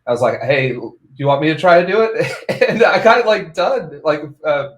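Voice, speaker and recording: A man's voice: a little high, lively intonation, moderate pace. A man hosting a casual tech podcast, rambling and laughing often between phrases. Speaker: podcast host. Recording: roomy echo.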